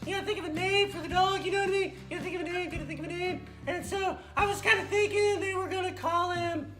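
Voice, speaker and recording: A woman voicing an excitable, bossy little girl puppet who rambles and repeats herself while hosting a playful kids' show. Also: very high-pitched, fast. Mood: giddy, rambling. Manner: rambling, repetitive, childlike. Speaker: children's puppet show host. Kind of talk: playful improvised storytelling for kids. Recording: roomy echo, faint music behind.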